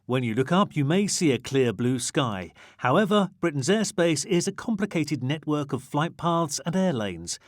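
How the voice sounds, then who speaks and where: A man's voice: on the high side, sing-song, moderate pace, few pauses, dry recording, clean studio sound. A man reading a scripted news narration as the reporter.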